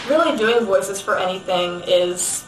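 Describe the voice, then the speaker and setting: A woman's voice: mid-range voice, lively intonation, unhurried, soft background music. A woman speaking off the cuff in an interview as a voice actress. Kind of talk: unscripted interview talk. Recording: echoey room, distant mic.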